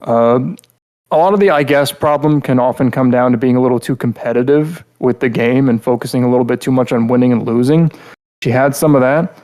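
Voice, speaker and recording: A man co-hosting a podcast, talking through a casual critique in a rambling, halting way and often repeating words mid-thought. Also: mid-range voice, darkish tone. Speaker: podcast host reviewing game characters.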